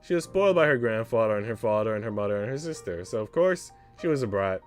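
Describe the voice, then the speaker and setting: A haughty, doting young mistress from a visual novel, acted out by a man, speaks in arch, theatrical phrases. The voice swings expressively in pitch, with music underneath. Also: lively intonation, fast talker, lightly acted. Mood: irritated.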